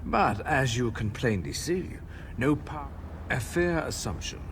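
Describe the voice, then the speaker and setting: An actor playing an earnest, learned scholar of arcane devices in a fantasy video game, explaining things in a measured way. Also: male voice, medium pitch, lively intonation, noisy background. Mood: fascinated, explanatory. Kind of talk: video game character dialogue.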